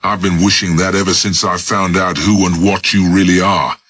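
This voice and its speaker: A man's voice: low-pitched, steady tone, moderate pace, breathy edge, dry recording. A man doing a ruthless, menacing schemer. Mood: contemptuous. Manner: forceful, menacing, mocking. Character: ruthless, sardonic man bullying a captive.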